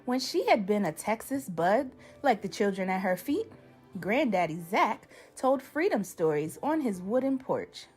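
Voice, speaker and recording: A woman narrates a children's picture book with expressive phrasing. She takes her time, and her fairly high voice rises and falls with lively variety. Faint music plays behind her. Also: a little slow. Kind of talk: scripted storybook narration.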